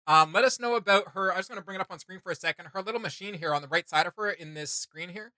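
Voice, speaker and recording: A man's voice: sits high, rapid-fire, pushed and bright, dry recording. A man in his thirties hosting a casual reaction chat, talking in an enthusiastic, slangy way. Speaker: host of a reaction channel. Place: small home studio room, indoors.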